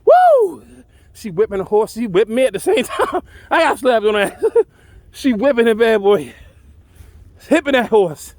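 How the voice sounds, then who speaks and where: Male storyteller, notably high voice, dry close sound, colloquial and dramatic delivery. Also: big pitch swings, moderate pace, long pauses, a little mellow, dry recording. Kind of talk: animated first-person tall-tale storytelling.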